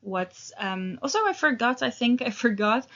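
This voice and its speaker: A woman in her thirties teaching an English lesson, talking in a relaxed, hesitant way with many fillers and restarts. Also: a little high, moderate pace, dull audio. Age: 30s.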